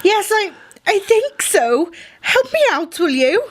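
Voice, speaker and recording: A woman's voice: extremely high pitch, sing-song, takes it slowly, heavily acted. A woman playing a spirited, sarcastic and headstrong young society lady in a radio play, arch and theatrical. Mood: urgent. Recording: heavy ambient noise behind the voice.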